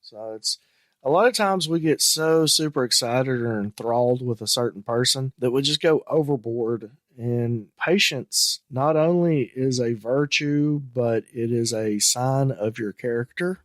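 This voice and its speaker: A man's voice: medium pitch, a little slow, a bit bright, no room sound. A man hosting a casual podcast discussion, talking in an earnest, emphatic way that turns heated on some points. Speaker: podcast host.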